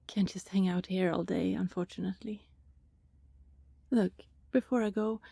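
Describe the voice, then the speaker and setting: A woman playing a friendly, teasing vampire neighbour in an intimate ASMR roleplay, chatty and casual, with a soft breathy voice that often slips toward a whisper. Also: medium pitch, no room sound. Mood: shy, warm. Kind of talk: ASMR roleplay, intimate one-sided conversation with listener.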